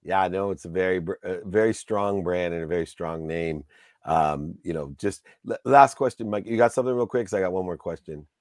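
A man's voice: low-pitched, brisk, no echo, studio quality. A man hosting a casual interview, talking in an enthusiastic, rambling way and heaping praise on his guest. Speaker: podcast host.

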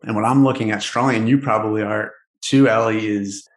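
A man's voice: fairly low pitch, fairly even intonation, a little fast. Guy on a podcast, echoey room, easygoing, filler-heavy. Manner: loose, filler-heavy, "you know". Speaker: podcast guest, real estate investor. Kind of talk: casual explanatory conversation.

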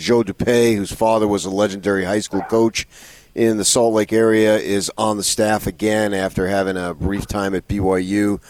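A man's voice: lowish voice, a little mellow, no room sound. A man co-hosting a sports radio show, talking casually and conversationally as he builds up to questions.

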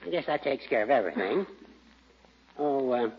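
An actor playing a sly, needling older judge with a coy, teasing manner in a 1940s radio comedy. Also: male voice, mid-range voice, expressive, normal speed, slight background noise, natural voice. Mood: coy, hesitant. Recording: muffled vintage 1940s radio recording.

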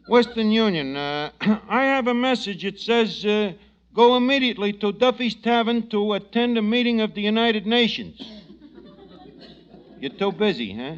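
An actor playing a brash, slangy, scheming tavern manager in a 1940s radio comedy. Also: male voice, high-pitched, clean tone. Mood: fast-talking, sly. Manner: slangy, ungrammatical, mangles words. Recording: dull vintage radio broadcast recording.

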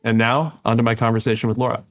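A man's voice: mid-range voice, steady tone, fast talker, no room sound, studio quality. A man hosting a podcast, reading a polished intro and then asking his guest questions. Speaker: podcast host.

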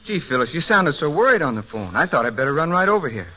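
Animated, breezy young fiance. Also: male voice, on the high side, fast talker, over loud music, low-quality recording. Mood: eager, concerned. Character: eager, breezy young working-class fiance. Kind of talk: old-time radio drama acting.

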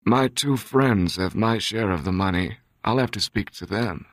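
A man voicing a cool, courteous young immigrant man who speaks softly and reasonably. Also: lowish voice, a little slow, dry recording, studio quality, voice pushed up, close to an everyday voice.

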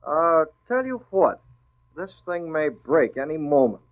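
An actor playing a decisive newspaper publisher and secret crimefighter, confident and crisp, in a 1940s radio drama. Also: male voice, a little high, sing-song, a little slow. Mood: businesslike. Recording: tinny, narrow-band old radio recording.

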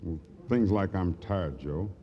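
An American actor playing an uneasy, evasive booth clerk in a 1950s radio crime drama, speaking in a hesitant, folksy way. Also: male voice, low-pitched, slow. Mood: defensive, rueful. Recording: noisy, muffled vintage radio recording.

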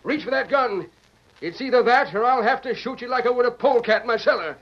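An actor playing a stubborn, blustering old American stage line owner in an old-time radio Western. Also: male voice, very high voice, fast. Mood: enraged. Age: older. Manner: blustering, combative, folksy Western speech. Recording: dull lo-fi vintage radio recording.

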